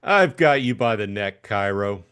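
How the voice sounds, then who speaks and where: A man voicing a tough, cynical private detective in a crime audiobook, blunt, slangy and needling, with crisp delivery. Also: on the low side, expressive, measured pace, fairly bright tone. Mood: hard, needling.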